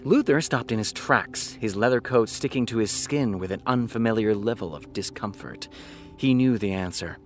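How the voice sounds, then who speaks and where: A man's voice: lowish voice, lively intonation, normal speed, faint music behind. A man playing a wry, self-critical writer who reads dramatic prose aloud with brooding delivery and muttered asides in an audio drama.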